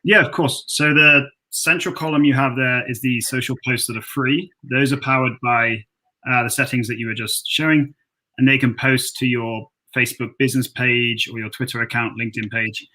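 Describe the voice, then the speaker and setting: Guy explaining software features on a webinar, bright clear-cut tone, average pitch. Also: fairly even intonation, normal speed, low-quality recording. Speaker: company representative.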